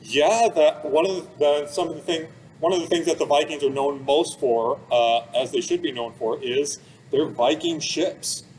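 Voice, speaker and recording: A man's voice: on the high side, normal speed, darkish tone. Man explaining casually, noisy background. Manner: casual, plain-worded explanations with everyday comparisons. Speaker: museum expert and presenter. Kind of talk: informal explanatory talk for children.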